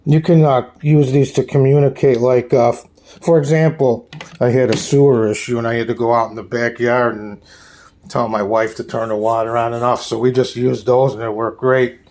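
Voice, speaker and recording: A man hosting a casual off-the-cuff hobby tour at home, talking in a halting, plain-spoken, rambling way. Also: medium pitch, fairly even intonation, darkish tone. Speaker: hobbyist host giving a radio gear tour. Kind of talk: casual off-the-cuff show-and-tell vlog. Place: small room at home.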